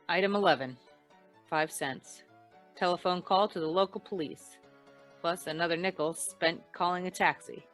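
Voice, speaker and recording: A woman acts out a hard-boiled private investigator from a radio noir. The voice is on the low side, dry and clipped, tossing out wry wisecracks. Music plays in the recording. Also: fairly bright tone. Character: hard-boiled, wisecracking freelance insurance investigator narrating a case. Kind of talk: old-time radio noir acting.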